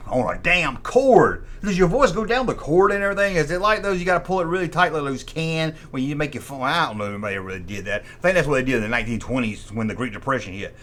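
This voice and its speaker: A man's voice: a little high, a bit bright. A middle-aged American man giving casual, humorous commentary in a mocking, sarcastic, folksy way. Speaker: host of a toy review channel.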